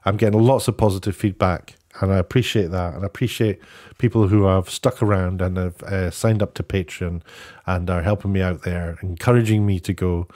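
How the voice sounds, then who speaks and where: A man's voice: low-pitched, steady tone, a little fast, mellow. A man giving casual, rambling opinion commentary as an online video host, often posing rhetorical questions, slightly sniffly.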